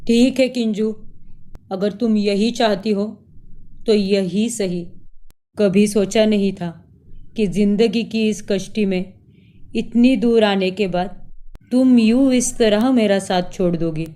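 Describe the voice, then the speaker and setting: A woman's voice: medium pitch, slow, mellow, close to an everyday voice. A woman voicing a hurt, pleading husband and loyal father in a melodramatic cartoon story. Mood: hurt, reproachful. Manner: pleading, reproachful.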